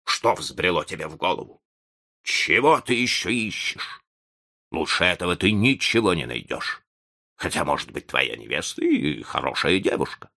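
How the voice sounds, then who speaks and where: A man's voice: low-pitched, very animated, gravelly, pushed and bright, exaggerated voice. A man playing a kindly, wounded old professor of seventy in an audiobook story, plaintive and emotional, full of questions. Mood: indignant, hurt. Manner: halting, plaintive, rhetorical questions.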